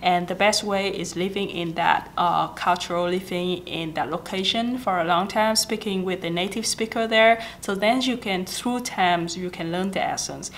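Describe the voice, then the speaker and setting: A woman's voice: on the low side, moderate pace, few pauses, slight background noise. Young Vietnamese-accented woman giving tips. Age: 20s. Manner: chatty, gestures often, frequent fillers and self-corrections. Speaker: vlogger giving language-learning tips. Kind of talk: casual talking-head advice. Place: small indoor office with a plant.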